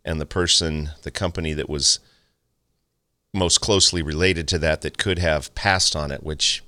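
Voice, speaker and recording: Low, bright male radio host; casual, playful garden-show banter. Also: fairly even intonation, moderate pace, no room sound, studio quality. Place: radio studio.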